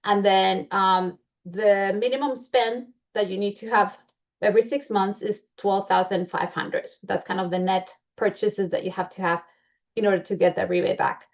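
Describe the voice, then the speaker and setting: A woman's voice: medium pitch, steady tone, moderate pace, highs cut off. A woman with a Colombian accent presenting membership benefits in a chatty way, with many fillers and restarts. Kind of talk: presentation walking through slides.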